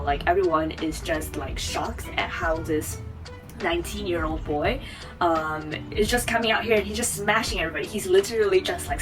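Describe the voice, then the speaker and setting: A woman's voice: lowish voice, poor audio. A woman in her twenties from Taiwan, chatting to camera as a vlogger, rambling with many fillers and restarts. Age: 20s. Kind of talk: casual chat to camera.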